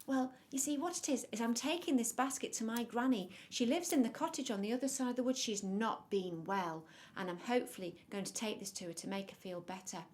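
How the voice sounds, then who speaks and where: A woman's voice: a little high, a little fast, few pauses, a little mellow, close to an everyday voice. A woman voicing an innocent, trusting little girl in a children's storybook, earnest and wide-eyed.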